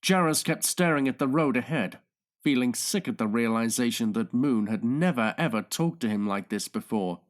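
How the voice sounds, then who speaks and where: A man's voice: mid-range voice, lively intonation, moderate pace. A man narrating a thriller audiobook in a dramatic, scripted style.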